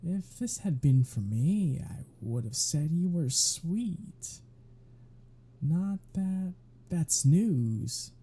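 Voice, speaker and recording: Man acting a husky, mellow, teasing vampire. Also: fairly high pitch, lively intonation, very slow, heavily acted. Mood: amused, teasing. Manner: wry, bantering, soft-spoken. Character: teasing, protective male vampire, dry and flirtatious. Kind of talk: intimate ASMR roleplay acting.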